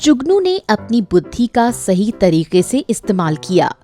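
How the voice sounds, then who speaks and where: Woman storyteller, unhurried and lively. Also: medium pitch. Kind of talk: scripted children's story narration. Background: faint music.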